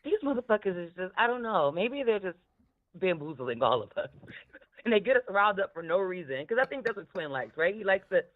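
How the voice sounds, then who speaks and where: Woman w a smoky voice, mid pitch, tinny phone audio, casual candid chat, swears a lot. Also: very animated, a little fast. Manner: candid, profane, laughs often. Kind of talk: casual chat, commentary on podcasts.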